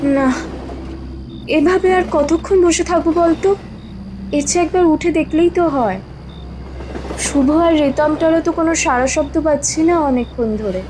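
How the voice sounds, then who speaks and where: A woman's voice: high-pitched, measured pace, pauses often, background noise. An actress playing a frightened young woman traveller in a horror audio drama, anxious and pleading. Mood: uneasy impatience.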